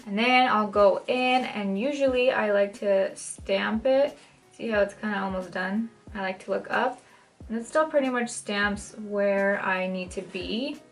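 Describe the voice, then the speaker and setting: Young woman, raspy and a bit croaky, casual how-to host with a faint music bed. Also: mid-range voice, measured pace. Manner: chatty, many "like" fillers, shifts pace often. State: dry throat, maybe catching a cold. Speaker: makeup tutorial host. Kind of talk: casual step-by-step tutorial talk. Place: home, in front of a mirror.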